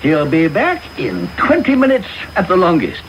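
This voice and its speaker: Genteel, animated old English gentleman. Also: high-pitched, moderate pace, vocal fry, lo-fi sound, exaggerated voice. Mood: kindly, instructive. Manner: genteel, assured, courteous. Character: kindly, trusting elderly English gentleman benefactor. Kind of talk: radio drama acting. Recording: noisy radio taping.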